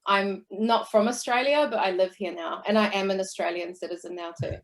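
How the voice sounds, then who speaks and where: A woman's voice: mid-range voice, fairly even intonation, a little fast. Chatty New Zealand woman. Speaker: guest, entrepreneur. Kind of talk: casual interview chat.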